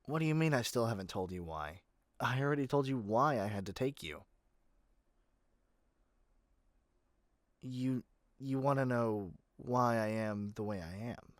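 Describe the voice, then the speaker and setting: A man's voice: mid-range voice, expressive, normal speed, no room sound, studio quality, lightly acted. A man playing a doting yet controlling yandere kidnapper in an ASMR roleplay, speaking intimately to the listener with sweet pet names. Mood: flustered, insistent.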